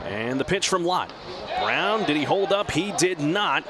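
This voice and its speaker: A man working as a radio play-by-play announcer, giving live baseball commentary in a measured, descriptive way that lifts with the action. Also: a little high, lively intonation. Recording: clear outdoor ballpark background noise. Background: outdoor stadium ambience.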